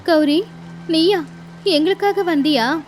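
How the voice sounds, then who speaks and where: A poor mother sparrow voiced by a woman in a cartoon fable, tender and anxious. The pitch is high, and soft music plays behind. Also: slow. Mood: surprised. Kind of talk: cartoon moral story acting.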